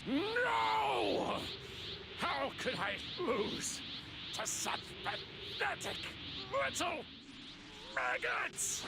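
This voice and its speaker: A man's voice: very high voice, wildly swooping pitch, extremely slow, vocal fry, very noisy, exaggerated voice. A man playing an arrogant, theatrical villain with a grandiose, sneering manner in an anime game. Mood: outraged. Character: arrogant, theatrical villain boasting of being ultimate. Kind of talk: dramatic anime game voice acting. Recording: loud music and crashes behind.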